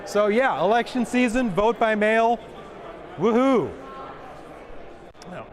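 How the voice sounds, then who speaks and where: A man with a big booming voice, giving a conference talk and answering questions with jokes. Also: animated, slow pace. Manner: joking, self-deprecating, repeats questions aloud. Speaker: conference speaker. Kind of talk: presentation and Q&A.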